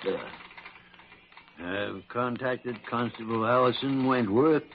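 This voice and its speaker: An actor playing a crusty, blustering older doctor in an old-time radio drama, emphatic and halting. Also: male voice, fairly high pitch. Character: crusty, indignant, loyal older male doctor. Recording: muffled, faintly noisy old radio recording.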